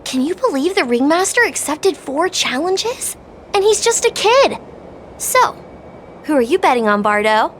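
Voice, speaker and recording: An actress voicing an excitable, impatient young woman spectator in a game cutscene. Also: measured pace, slight background noise. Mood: chatty. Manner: impatient, chatty, very high voice. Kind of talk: game voice acting.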